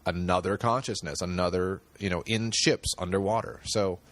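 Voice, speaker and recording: A man's voice: sits low, fairly even intonation, moderate pace, fairly bright tone. A man talking as a guest on a podcast, thinking aloud with many fillers and restarts. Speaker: podcast guest, screenwriter and director. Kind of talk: casual interview talk.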